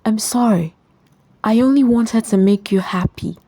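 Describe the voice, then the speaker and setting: A woman storyteller voicing a gentle, humble young husband who speaks quietly in a folktale. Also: a little high, a little slow, no echo. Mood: apologetic, hurt. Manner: quiet, soft-spoken.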